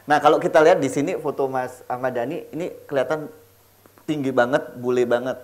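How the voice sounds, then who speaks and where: A man's voice: mid-range voice, steady tone, fairly quick. A man hosting a casual, playful interview, slangy and mixing in English words. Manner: jokey, slangy, peppers talk with English words. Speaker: talk show host.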